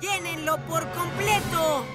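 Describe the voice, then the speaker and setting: Kid ninja gang boss, boastful cartoon villain, high voice, Latin American Spanish, loud score underneath. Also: female voice, steady tone, slow, loud music behind the voice. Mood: gleeful boasting. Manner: boastful, bossy. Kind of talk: animated children's cartoon dub.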